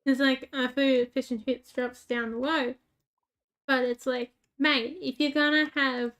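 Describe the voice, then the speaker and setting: A woman's voice: sits high, little pitch movement, a little slow, a bit bright. A woman with a rural Australian accent, chatting casually while playing a game, rambling with lots of fillers and joking about herself. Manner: rambling, filler-heavy, self-mocking. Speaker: gaming YouTuber. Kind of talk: casual gameplay chat.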